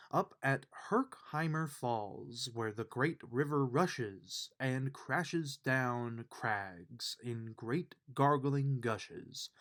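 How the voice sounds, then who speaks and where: A man reading a rhyming children's bedtime book aloud with measured, emphatic storytelling delivery. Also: mid-range voice, fairly bright tone. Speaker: storybook reader.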